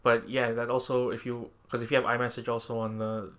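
A man's voice: mid-range voice, flat delivery, a little fast, a bit bright. A man co-hosting a podcast in casual, informal chat, leaning on conversational filler phrases. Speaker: podcast co-host. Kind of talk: casual chat about tech and pop culture. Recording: clear background ambient noise.

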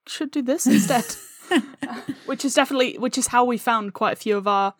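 Wry, slightly high-voiced woman in dry sound. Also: a little fast, no echo. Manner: wry, anecdotal, acts out quoted remarks. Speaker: interview guest, audio drama creator. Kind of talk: casual chat.

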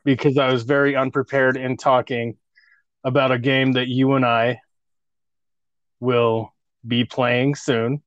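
A man's voice: medium pitch, flat delivery, measured pace, long pauses, dry recording, dull audio. A man in his thirties co-hosting a casual podcast, joking crudely and laughing often. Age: 30s. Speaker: podcast co-host.

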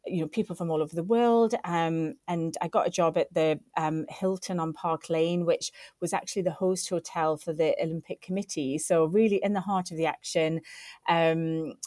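A woman's voice: low-pitched, steady tone, moderate pace, a little mellow, dry recording, clean studio sound. A woman in her forties from Northeast England, a podcast guest telling her career story in an effusive, upbeat way. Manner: effusive, upbeat, piles on superlatives. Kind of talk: casual interview storytelling.

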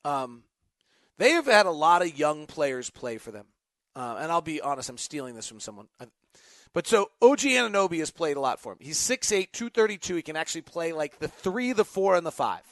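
A man's voice: a little high, very animated, a little fast, a bit bright, dry recording. A man hosting a live sports talk show, speaking off the cuff in an emphatic, conversational, stop-and-start way. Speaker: sports show host and radio announcer. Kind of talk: live unscripted sports talk with host-read ad.

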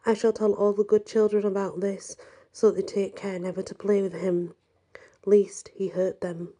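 Brief: gentle fussing old poet, soft coaxing delivery, read by a woman, breathy and mellow. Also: medium pitch, little pitch movement, moderate pace, close to an everyday voice. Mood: lamenting.

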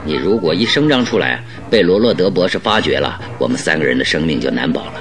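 Radio drama detective: very low voice, shrewd, exacting, deliberate and commanding. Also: male voice, expressive, faint music behind. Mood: firm, instructing. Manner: deliberate, instructing, emphatic. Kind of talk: Mandarin radio drama acting.

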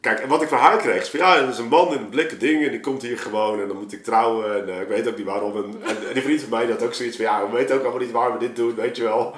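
A man telling anecdotes in an informal, animated way, as in a casual podcast chat. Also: medium pitch, fast, hardly any pauses. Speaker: podcast guest, artist telling of a project. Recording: roomy, echoing room sound.

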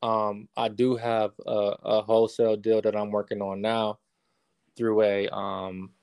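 Low gravelly-voiced man in his thirties. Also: a little slow, no room sound, clean studio sound. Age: 30s. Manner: relaxed, many fillers and restarts. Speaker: guest, real estate investor. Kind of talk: casual interview answers.

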